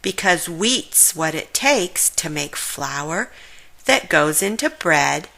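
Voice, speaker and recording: A woman's voice: fairly low pitch, expressive, takes it slowly, gravelly, sharp tone, voice pushed down, full character voice, poor audio. A woman voicing a friendly, proud, folksy farmer bear in a children's storybook, explaining things in rhyme.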